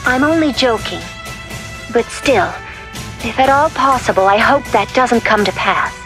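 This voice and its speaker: Female game comrade: higher pitch, composed, direct, caring yet resolute. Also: lively intonation, unhurried, over music. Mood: earnest, resolute. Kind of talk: dubbed video game cutscene acting.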